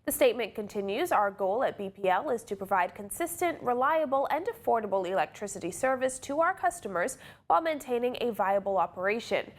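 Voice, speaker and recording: Higher-pitched woman news anchor. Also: animated, fairly quick, few pauses. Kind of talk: scripted newsreading.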